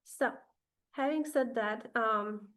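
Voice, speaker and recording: A Polish-accented woman presents a webinar over a video call. She speaks slowly in a slightly high voice and fills her many pauses with ums and uhs. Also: fairly bright tone. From Poland.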